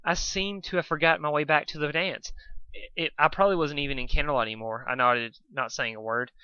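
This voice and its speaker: A man reading a story aloud as an amateur, playful and animated, stumbling over words now and then. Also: a little high, fast, clean tone, no room sound, highs cut off. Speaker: amateur fan fiction reader.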